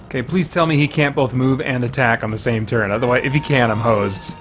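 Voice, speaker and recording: A man's voice: mid-range voice, steady tone, a little fast, faint music behind. A man giving casual first-impressions commentary on a video game, conversational and thinking aloud as he goes.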